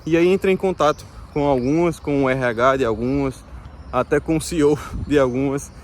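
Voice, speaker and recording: A man from Brazil in his thirties talks with a Brazilian Portuguese accent, in a mid-pitched voice. He speaks to his viewers in a relaxed, hesitant way, often stopping and restarting. Faint street and car noise sits behind him outdoors. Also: a little fast. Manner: hesitant, many fillers and restarts. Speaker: vlogger. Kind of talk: casual explanatory talk to viewers.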